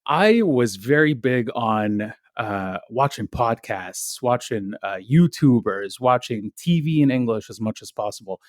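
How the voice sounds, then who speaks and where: A young man from Egypt, a guest on a podcast, speaking in a soft and friendly way with many ums. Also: mid-range voice, animated, measured pace, few pauses, no room sound, studio quality. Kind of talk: casual interview.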